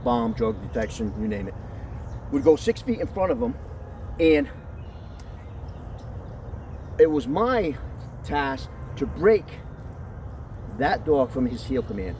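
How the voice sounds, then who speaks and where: A man with a deep voice explaining how to train his dog, in a casual, conversational way that keeps checking in with "okay?". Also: very animated, slow pace, pauses often, a little mellow. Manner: conversational, often checks in with "okay?", jumps to a high praise voice. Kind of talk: casual outdoor training talk. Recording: distant mic with outdoor street noise.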